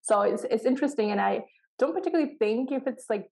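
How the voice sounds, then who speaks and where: Gravelly, mellow-voiced woman talking earnestly. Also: on the high side, expressive, fast. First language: Pashto and Farsi. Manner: earnest, searching, many restarts. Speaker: podcast co-host. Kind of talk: reflective conversation.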